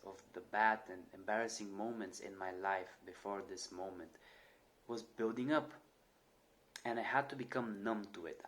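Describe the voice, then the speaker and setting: A man's voice: fairly low pitch, fairly bright tone. A young man talking earnestly to camera, sharing his own experience, with small repetitions and restarts in his speech. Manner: earnest, reflective, with occasional stutter repetitions. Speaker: channel host. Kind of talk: personal talk to camera.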